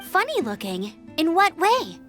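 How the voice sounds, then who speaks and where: A woman voicing an eager, confident young female scout in a game, talking briskly. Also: very high-pitched, huge pitch swings, a bit bright, exaggerated voice. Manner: brisk, self-assured. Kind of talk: game voice acting. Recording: game audio with music behind.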